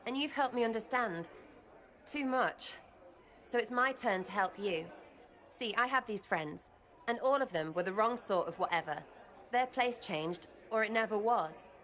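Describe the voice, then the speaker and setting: A cheeky slangy elf rogue. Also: female voice, fairly high pitch, a little slow, a bit bright. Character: brash irreverent young female elf rogue.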